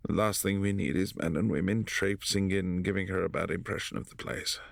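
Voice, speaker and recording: A man voicing a brooding, possessive adult vampire prince in a boyfriend ASMR audio drama, speaking softly and often in a hushed whisper. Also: deep voice, steady tone, brisk, creaky, no echo, clean studio sound. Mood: calm, concerned.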